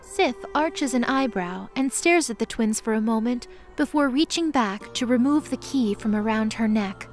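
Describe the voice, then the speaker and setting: Casting: female fantasy narrator, higher-pitched, measured storytelling read over faint music. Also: animated.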